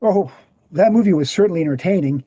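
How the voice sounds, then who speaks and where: A man's voice: sits high, lively intonation, fast talker, mellow, husky, no room sound, studio quality. A man reading the part of a confident, didactic explainer in scripted dialogue. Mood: assured. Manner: didactic, assured. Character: Gary, confident explanatory man debunking assassination myths. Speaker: actor in a screenplay table read.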